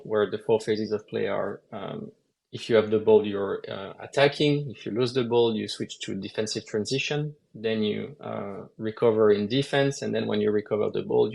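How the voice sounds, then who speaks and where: A man, a lead game designer, explaining game mechanics at a measured pace with frequent hesitations in a live Q&A. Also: lowish voice, vocal fry, darkish tone.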